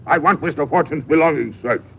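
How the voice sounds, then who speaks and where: An actor playing a strict, pompous ship captain in a 1950s radio drama. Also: male voice, a little high, brisk, creaky. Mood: stern. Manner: stiff, authoritative. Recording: tinny noisy 1950s radio broadcast.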